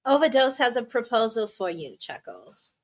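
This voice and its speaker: A woman's voice: on the high side, sing-song, moderate pace. Hyped woman hosting an interview, says like a lot, swears. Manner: enthusiastic, swears, many fillers like "like". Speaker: interview host. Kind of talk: casual interview chat.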